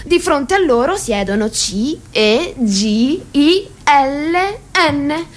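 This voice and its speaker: A woman acting as a formal narrator in a radio play, reciting in a deliberate, measured way. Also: sits high, lively intonation. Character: female narrator reciting a party hierarchy, precise and formal. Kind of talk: theatrical radio drama narration in Italian.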